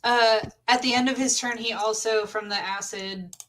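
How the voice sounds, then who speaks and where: A woman's voice: fairly high pitch, fairly even intonation, normal speed, a bit bright. A woman chatting casually as a player in a tabletop game.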